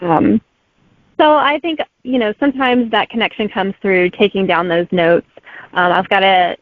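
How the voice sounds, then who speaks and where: A woman's voice: medium pitch, fairly even intonation, normal speed, no room sound. A woman in her thirties answering interview questions as a guest author, friendly and giggly, with lots of "um" and "like". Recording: tinny phone-like remote line, dry.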